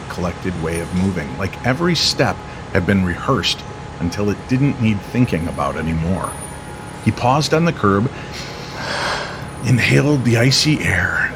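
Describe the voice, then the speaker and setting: A man narrating an eerie, nostalgic story as a storyteller, in a measured and dramatic manner. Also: fairly low pitch, gravelly, background noise.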